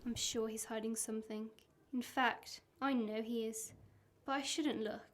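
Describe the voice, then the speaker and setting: A woman's voice: a little high, steady tone, unhurried, background noise, natural voice. A young woman playing a suspicious, exasperated wife in an amateur radio play. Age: young adult.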